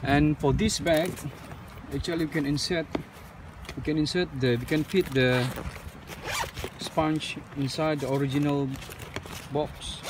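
A man's voice: a little high, fairly even intonation, distant mic. Man narrating an unboxing outdoors, street noise. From Malaysia. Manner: unscripted, halting, with frequent "uh" and non-standard English grammar. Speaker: drone reviewer. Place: outdoors on pavement.

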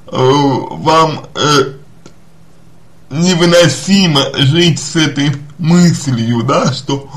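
A man giving unscripted personal advice as a psychologist, speaking deliberately and haltingly, often repeating and rephrasing his phrases. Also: on the high side. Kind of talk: spoken advice to a listener, unscripted.

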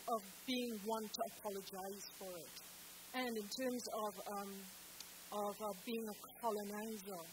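A woman on a theatre stage panel, answering earnestly and firmly with halting restarts, into a handheld mic. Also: mid-range voice, poor audio. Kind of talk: panel discussion response. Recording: hissy auditorium recording.

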